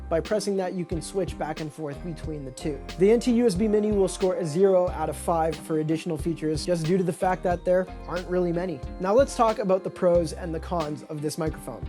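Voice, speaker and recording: A man with a naturally high voice giving an upbeat, friendly, scripted review of microphones from his home desk. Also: warm tone. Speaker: tech product reviewer.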